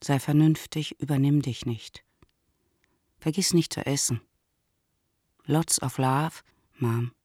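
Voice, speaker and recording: An actress playing a practical, gently lecturing middle-aged mother reading out her letter in a radio play. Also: very deep voice, dry recording, studio quality, exaggerated voice. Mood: practical, caring. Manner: measured, matter-of-fact, gently lecturing.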